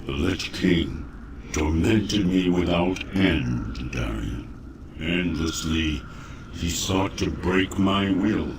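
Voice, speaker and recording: Haunted knightly father, very low, slow, grave, fantasy game, noisy ambience. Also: heavily acted. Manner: grave, halting, dramatic. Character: tormented undead knight, a loving weary father. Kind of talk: fantasy game cutscene acting.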